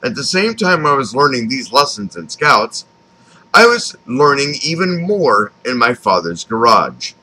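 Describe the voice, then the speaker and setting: Male memoir narrator, fifties, American accent. Bright, animated intonation, deliberate scripted read. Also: medium pitch, slightly rough, low-quality recording. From Texas, United States. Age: 50s. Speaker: author reading his own memoir.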